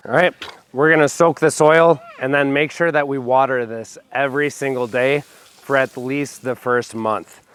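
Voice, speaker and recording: A young man hosting a homestead vlog, hoarse from a cold, explaining his backyard planting step by step with enthusiasm. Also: medium pitch, fairly even intonation, normal speed, fairly bright tone. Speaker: homestead channel host. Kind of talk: casual gardening vlog. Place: backyard, outdoors.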